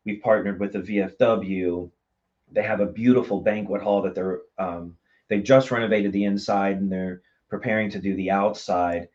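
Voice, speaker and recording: A man with a lowish voice in dull, lo-fi sound. He speaks earnestly and a bit haltingly, as a member of a theater company giving a casual interview. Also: steady tone, darkish tone.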